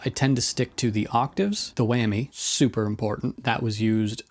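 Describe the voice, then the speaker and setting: A man with a mid-pitched voice hosts a guitar effects video as a casual monologue. He explains things informally, states his own opinions freely and undercuts himself with wry, self-deprecating asides. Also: steady tone, moderate pace.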